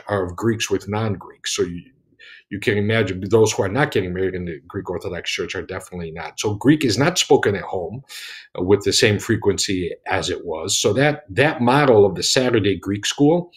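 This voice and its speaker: A man's voice: on the low side, a little fast, slightly rough. A man, speaking earnestly on an online panel from his home study over a video call, with many restarts. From United States.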